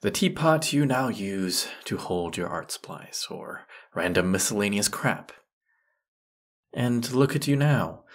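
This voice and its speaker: A man's voice: lowish voice, breathy edge, full character voice. A man playing a grumpy, haughty century-old teapot spirit in an audio roleplay, scolding the listener with put-upon sarcasm. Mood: indignant, mocking.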